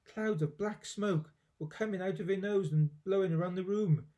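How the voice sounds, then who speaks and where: A man's voice: sits high, normal speed, mellow. A man reading a children's storybook aloud expressively, with dramatic emphasis, like a teacher.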